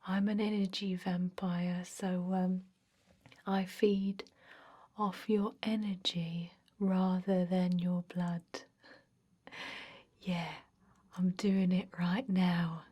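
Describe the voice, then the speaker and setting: Slow, whispering deadpan energy vampire played by a woman. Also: on the low side. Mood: deadpan, halting. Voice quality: soft, breathy, often whispered. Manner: halting, monotone, hesitant, deadpan. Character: bored, droning female energy vampire office clerk named Evie. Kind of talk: scripted ASMR roleplay.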